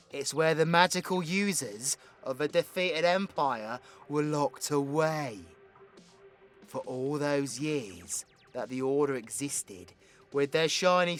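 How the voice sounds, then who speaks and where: A man plays a cocky young British supervillain in an audio roleplay. His delivery is slow and theatrical, with very lively swings of pitch, and he is foul-mouthed, teasing and affectionate. Faint music sits behind him. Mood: mocking, intrigued. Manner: theatrical, swearing, swinging from hushed confiding to loud outbursts. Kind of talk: intimate audio roleplay monologue.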